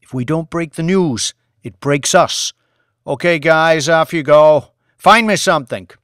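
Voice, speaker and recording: A man's voice: on the high side, unhurried, a bit bright, clean tone, no echo, studio quality, pitched above the performer's own voice. A man voicing a commanding male news boss in an audiobook, rallying his team with emphatic, clipped orders. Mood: urgent, pressing.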